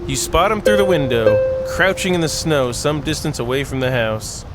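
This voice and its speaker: A man's voice: on the high side, expressive, moderate pace, low-quality recording. A man doing casual let's play commentary and reading a visual novel aloud, with playful asides and dramatic reading. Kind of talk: casual gameplay commentary and read-aloud narration. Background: game music.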